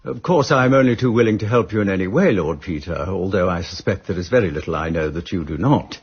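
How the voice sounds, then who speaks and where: Low, husky English solicitor. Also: male voice, lo-fi sound. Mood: obliging. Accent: educated English. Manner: measured, formal, obliging. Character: urbane, courteous English solicitor, smooth-mannered and guarded. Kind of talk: radio drama acting.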